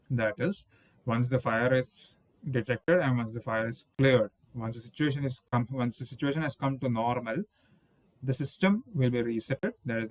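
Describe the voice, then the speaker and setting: A man in his thirties talks through technical slides as an instructor. He explains patiently and circles back to rephrase, with fillers like "okay". His voice sits at a middle pitch and sounds close and dry, with no room echo. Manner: explanatory, repeats and rephrases himself, frequent "okay" and "uh". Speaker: engineering instructor. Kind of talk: slide-based technical lecture. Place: indoors, voice-over on slides with small webcam inset.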